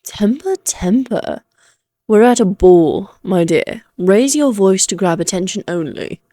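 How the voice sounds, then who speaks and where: A woman's voice: mid-range voice, animated, unhurried, dark tone, dry recording, natural voice. A woman playing an elegant, condescending female vampire in a Victorian fantasy audio drama, speaking in a poised, archly formal, teasing manner. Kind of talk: scripted roleplay audio drama monologue.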